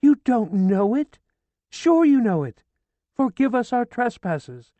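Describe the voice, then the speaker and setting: A reader doing a shrill, frantic grieving woman. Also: sing-song, takes it slowly, mellow, no room sound. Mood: hysterical pleading. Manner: shrill, pleading, near screaming. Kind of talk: audiobook character voice.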